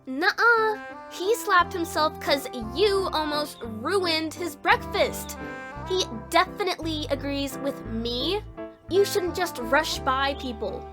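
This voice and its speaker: A woman's voice: sits high, animated, slow, over music. A woman playing a haughty, teasing sister in an audio drama, smug and mock-formal. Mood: argumentative.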